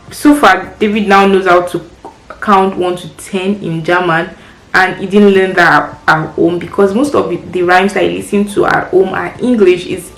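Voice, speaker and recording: Chatty woman, echoey room. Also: medium pitch. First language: Yoruba. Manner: chatty, friendly, practical tips. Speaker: parent vlogger. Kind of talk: casual advice vlog. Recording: echoey room with faint music.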